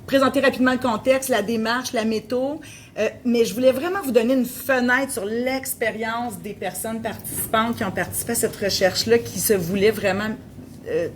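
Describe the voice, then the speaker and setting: A woman's voice: a little high, fairly even intonation, moderate pace, a little hiss or hum. Hesitant Québécois woman presenting research. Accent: Québécois French. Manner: hesitant, many fillers and restarts. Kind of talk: conference presentation. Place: conference room.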